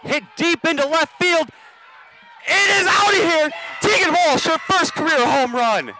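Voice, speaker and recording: Bright-voiced male radio play-by-play announcer. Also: very high voice, a little hiss or hum, rough recording. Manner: measured between plays, bursting into excited calls on big plays. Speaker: play-by-play sports commentator. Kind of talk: live radio softball play-by-play commentary.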